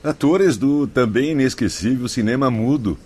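An actor playing a wry, teasing patient in a Brazilian Portuguese radio drama, with a jocular manner. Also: male voice, mid-range voice, normal speed, darkish tone, husky, close to an everyday voice.

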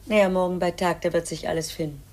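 An actress in a 1950s German radio play, playing an unruffled, evasive housekeeper who soothes and brushes things off colloquially. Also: female voice, lowish voice, steady tone, fast talker, darkish tone, close to an everyday voice. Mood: dismissive, soothing. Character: unruffled, evasive housekeeper, matter-of-fact and dismissive.

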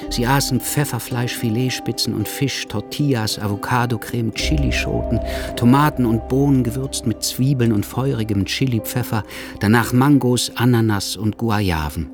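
An actor playing a melancholy Cuban writer, narrating a radio drama in a reflective storytelling manner. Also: male voice, mid-range voice, normal speed, over music, natural voice. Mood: descriptive. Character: melancholy Cuban writer telling his tale, reflective man.

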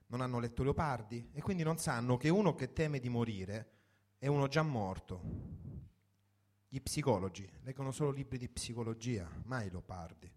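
A man's voice: mid-range voice, normal speed, a little mellow. A man reading a first-person story for radio in a reflective, wry manner, in short sentences. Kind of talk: dramatic literary reading for radio.

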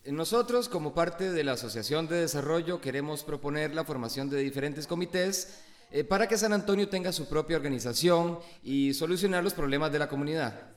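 A man's voice: fairly high pitch, a little fast, close to an everyday voice. An actor playing an earnest, fair-minded village meeting chairman in a radio drama. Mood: insistent, orderly. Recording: noisy crowd behind.

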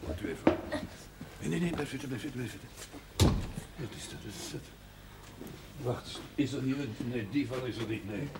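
Calm village doctor, played by a man, very noisy recording. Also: medium pitch, normal speed, creaky, long pauses, natural voice. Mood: calm, careful. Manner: soothing, repeating short instructions. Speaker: actor in a television drama series. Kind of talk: naturalistic television drama acting. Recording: very noisy, distant old television soundtrack.

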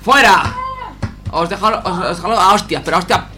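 A man's voice: high-pitched, moderate pace, over music, natural voice. A man playing a brutal, foul-mouthed riot policeman barking threats in a comic radio serial. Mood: aggressive.

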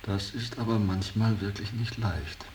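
An actor playing a discouraged former painter reduced to hack writing, respectful and plaintive, in a radio play. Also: male voice, fairly low pitch, a little slow, darkish tone, close to an everyday voice. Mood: subdued, respectful. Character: discouraged, dutiful former painter, struggling newspaper writer, younger man. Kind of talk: German radio play acting. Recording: distant, slightly hissy radio drama recording.

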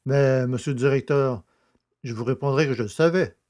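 An actor playing a plain-spoken, naive poacher held in prison in an audio drama, grumbling in a folksy, expressive way. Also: male voice, mid-range voice, moderate pace, natural voice. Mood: pleased. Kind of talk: French audio drama acting.

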